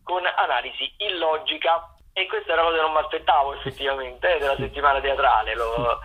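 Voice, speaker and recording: A man hosting a radio programme, running through upcoming shows unscripted, in a halting, improvised way with drawn-out words and self-corrections. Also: on the high side, bright, forceful tone. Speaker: radio host presenting theatre listings. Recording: tinny, phone-like radio sound.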